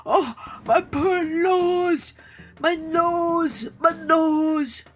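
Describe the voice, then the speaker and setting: A storybook lion brought low, moaning and begging as a woman storyteller plays him. The voice is pitched high and pleading, repeating itself in agony. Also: very slow, full character voice. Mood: pained pleading. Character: proud male lion, agonised and begging over his nose. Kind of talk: storybook character voice.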